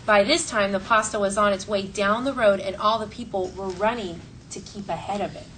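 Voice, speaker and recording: A woman teacher reading a story aloud to children in an expressive, clearly phrased way and talking to the class. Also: medium pitch, brisk. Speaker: elementary school teacher. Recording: distant mic, faint classroom noise.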